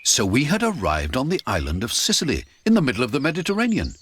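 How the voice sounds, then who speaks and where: A man narrating an adventure audiobook in the first person, theatrical and expressive. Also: mid-range voice, fast, a little hiss or hum.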